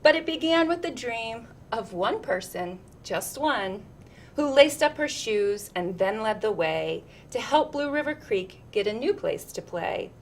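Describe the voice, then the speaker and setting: A woman's voice: a little high, expressive, unhurried. A woman reading a children's picture book aloud, expressively, with chatty asides. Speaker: storytime reader.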